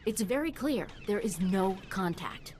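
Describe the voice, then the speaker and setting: A woman's voice: mid-range voice, sing-song, measured pace, a little mellow, a little hiss or hum. A woman playing an eager, nerdy scientist in a video game, precise and absorbed. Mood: eager, intent. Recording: game music underneath.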